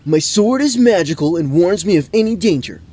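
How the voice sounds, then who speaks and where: A man's voice: sits high, animated, fairly quick, mellow, husky. A man playing a cocky but clueless adventurer, boastful and earnest like a bro, in a fantasy audio drama. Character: cocky, clueless young male adventurer and sword fighter.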